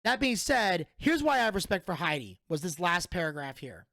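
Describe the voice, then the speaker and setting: A man's voice: sits high, sing-song, normal speed, vocal fry, sharp tone, no echo, studio quality. A man in his thirties hosting a solo podcast, giving a blunt, profane and opinionated review. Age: 30s. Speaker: podcast host.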